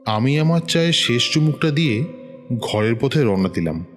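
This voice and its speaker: A man narrating a first-person horror tale in a measured, suspenseful manner. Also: medium pitch, big pitch swings. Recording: long reverb, music underneath.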